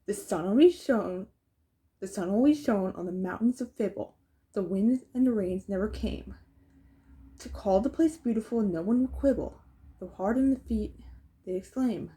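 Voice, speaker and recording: A woman with a soft, mellow tone and a middle-pitched voice reads a children's storybook aloud. She delivers it as rhyming narration and slips into casual, chatty asides. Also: normal speed, low-quality recording. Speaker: storybook reader.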